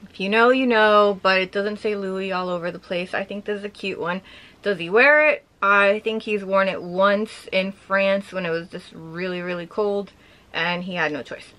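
A young woman chatting casually as she shows off items from her collection, gushing with lots of filler words and a slightly hoarse voice. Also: mid-range voice, moderate pace, pushed and bright, poor audio. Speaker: vlogger showing her collection. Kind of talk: casual show-and-tell chat.

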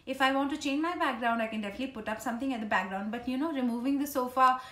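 A woman's voice: high voice, rapid-fire, a bit bright. A woman in her thirties with an Indian accent, chatting informally and ramblingly to camera as a vlogger in her living room. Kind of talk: casual chit-chat to camera. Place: small living room at home, indoors. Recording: roomy home living room echo.

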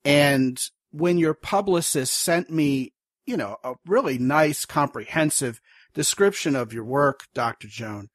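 A man hosting a podcast interview, talking at length in a wordy, animated way and acting out the inner voices he describes. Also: on the high side, a little slow, dry recording, clean studio sound. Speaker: podcast host. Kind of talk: conversational interview.

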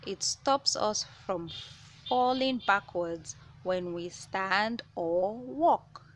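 A woman reading a children's science picture book aloud, with measured, clear phrasing for young listeners. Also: medium pitch. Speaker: children's read-aloud host. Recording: noticeable background noise.

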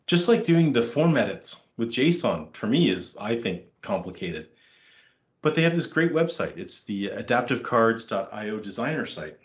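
Man, conference presenter, screen-share demo, halting with fillers, slightly hoarse, low voice, roomy dull audio. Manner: hesitant, many fillers and restarts. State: losing his voice. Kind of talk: screen-share tech session.

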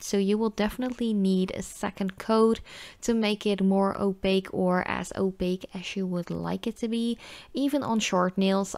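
Dutch accent woman doing a casual chatty review voiceover, normal pitch, really dry sound. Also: vocal fry, a little mellow, dry recording. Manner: chatty, informal. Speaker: nail polish reviewer. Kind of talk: casual product review voice-over.

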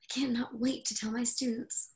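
A woman's voice: fairly high pitch, flat delivery, normal speed, creaky. A woman playing an exasperated professor battling her smart home in an online play reading. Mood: frantic, triumphant.

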